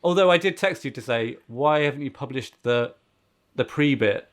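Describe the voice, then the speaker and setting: A man's voice: mid-range voice, expressive, moderate pace. Man chatting casually about tech. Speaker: podcast co-host.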